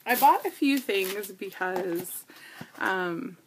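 A woman's voice: medium pitch, expressive, slow pace, a little hiss or hum, low-quality recording. Chatty woman vlogging a grocery haul. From Canada. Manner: chatty, lots of ums, asides to her device. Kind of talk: casual grocery haul vlog. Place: living room with couch, indoors. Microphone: tablet camera built-in mic.

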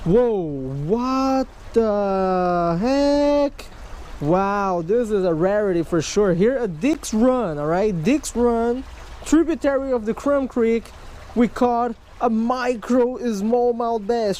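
A man's voice: very high-pitched, slow pace, darkish tone. A man in his thirties talking to camera beside a creek while fishing, exclaiming over each catch and chatting to his viewers. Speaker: fishing vlogger. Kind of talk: casual vlog narration to camera. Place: outdoors by a wooded creek. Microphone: camera mic, handheld or chest-mounted.

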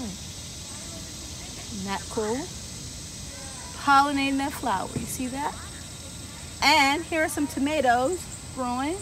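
A woman in her thirties reading a picture book aloud to children and then touring a garden with them, asking lively questions. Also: sits high, poor audio. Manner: lively, talks straight to kids with questions. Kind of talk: children's story time read-aloud and garden tour. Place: outdoor garden, seated on a bench then walking among plants. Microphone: camera a few feet away, no visible mic. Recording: outdoor camera audio with steady insect noise.

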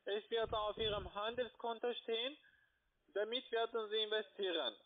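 A man with a foreign accent, not a native speaker, gives an insistent phone sales pitch in halting phrases. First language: not German. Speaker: call-centre investment salesperson. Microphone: phone call. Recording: tinny narrow-band phone line.

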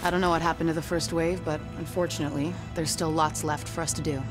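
A woman's voice: deep voice, flat delivery, quick pace, music in the background, natural voice. A woman playing a determined young government field agent in a video game, earnest and businesslike. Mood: resolute, businesslike. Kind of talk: video game cutscene acting.

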